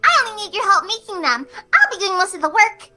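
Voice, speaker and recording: Very high, gleeful, sinister pony. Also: female voice, very animated, fast, pushed and bright, exaggerated voice. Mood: cheerful. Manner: giggly, sing-song, falsely cheerful. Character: gleeful, sinister young female cartoon pony. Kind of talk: dramatized horror fan fiction reading. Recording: music behind the voice.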